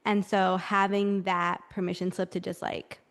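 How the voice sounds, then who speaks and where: A woman's voice: mid-range voice, little pitch movement, moderate pace, fairly bright tone, clear, resonant tone. A young woman hosting a podcast, asking thoughtful questions and affirming her guest. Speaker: podcast host. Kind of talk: interviewing.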